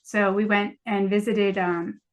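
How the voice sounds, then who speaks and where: A woman's voice: medium pitch, level tone, unhurried. An American woman, a novelist, talking casually as a guest on a podcast. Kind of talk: casual interview chat.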